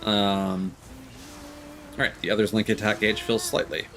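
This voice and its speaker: A man's voice: fairly low pitch, little pitch movement, measured pace, fairly bright tone. A man giving casual, rambling live commentary as he thinks aloud through a video game. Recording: music behind the voice. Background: game music.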